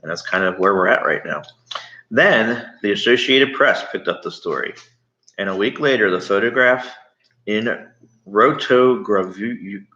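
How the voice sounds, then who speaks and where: A man reading a storybook aloud, pausing to explain things and ask questions as he goes. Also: lowish voice, sharp tone. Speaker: read-aloud storyteller to children. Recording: slightly muffled, echoey room.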